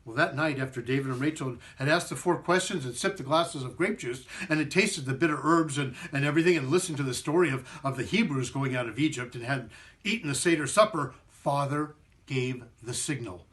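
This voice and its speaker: A man reading a children's story aloud in an expressive, theatrical way, sometimes repeating a word as he goes. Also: mid-range voice, fairly quick. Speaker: performing arts director reading a story.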